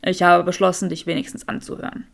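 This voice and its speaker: A young male wizard of an audiobook story, played by a woman, arrogant and teasing. The voice drops low and carries a dry, pompous self-assurance. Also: little pitch movement, quick pace, creaky, a bit bright, full character voice. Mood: smug.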